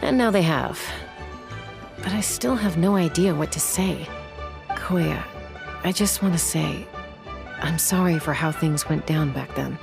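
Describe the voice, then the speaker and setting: An actress playing a reserved young woman who works as a peacemaker in a video game, speaking slowly and hesitantly. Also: sits low. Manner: slow, hesitant, gravelly delivery. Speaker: game character. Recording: game audio with music underneath.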